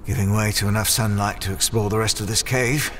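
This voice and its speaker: A man playing a wry, cocky wounded explorer in an adventure audio drama, with halting, theatrical, formally worded delivery. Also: fairly low pitch, steady tone, a bit bright, a little hiss or hum, lightly acted. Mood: eager. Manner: halting, groaning, theatrical, formal turns of phrase.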